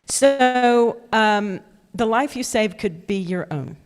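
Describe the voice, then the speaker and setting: A woman's voice: on the high side, lively intonation, slow pace, clean tone. An older woman speaking at a club meeting, telling her personal story casually and introducing a guest speaker. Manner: chatty storyteller, laughs at her own story. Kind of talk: casual talk with personal anecdotes. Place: indoor meeting room. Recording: long reverberant room sound.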